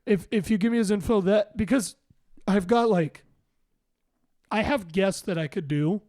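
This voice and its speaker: A young man with a raspy, hoarse voice hosting a casual podcast, rambling and joking through quick restarts. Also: high-pitched, a little mellow, dry recording, clean studio sound. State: hoarse, voice worn out. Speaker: podcast host.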